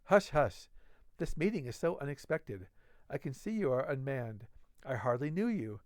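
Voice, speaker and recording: A man reading the storybook part of an esteemed doctor, flustered and evasive, in a hushed, formal, placating manner. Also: mid-range voice, sing-song, moderate pace, no echo, natural voice. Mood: startled, placating.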